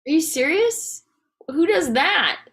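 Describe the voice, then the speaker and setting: A woman's voice: high-pitched, very animated, slow pace, a bit bright, heavily acted. A woman playing a frazzled, firm young wife and mother of toddlers in a comedy table read, speaking in a dry, weary conversational way. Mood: puzzled.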